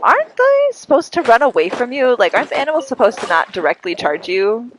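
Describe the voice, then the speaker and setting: A woman's voice: fairly high pitch, very animated, fast talker, slight background noise, poor audio. A congested woman chatting casually and wryly to viewers as she live-streams a game. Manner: playful, wry, chatty asides to viewers. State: congested, breathing heavily. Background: game music.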